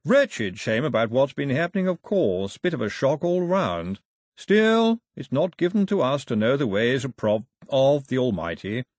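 A man's voice: mid-range voice, big pitch swings, moderate pace, darkish tone, no room sound, studio quality, lightly acted. A man voicing a plump, jovial, blustering upper-class bishop in an audiobook, hearty and bumbling. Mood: awkward sympathy. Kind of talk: audiobook character voice.

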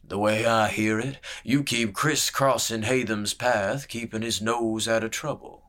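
A paunchy spymaster in his fifties speaks in a slow, hushed murmur, as a male audiobook narrator puts him on. His low voice stays calm while a quiet threat sits beneath it. It is a storybook villain read for listening. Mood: probing. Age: 50s. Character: paunchy menacing middle-aged spymaster, soft-spoken. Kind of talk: audiobook character voice.

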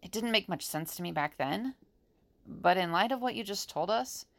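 Woman acting as grave, gravelly-voiced female seer. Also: medium pitch, animated, moderate pace, natural voice. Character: grave woman seer named Ali, calm and commanding. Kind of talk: audio drama acting.

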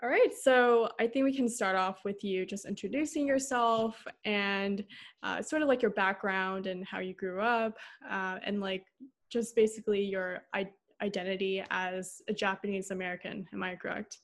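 A woman's voice: mid-range voice, fairly even intonation, moderate pace. Rambling young woman interviewer. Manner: rambling, many fillers. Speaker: podcast interviewer. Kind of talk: casual interview talk.

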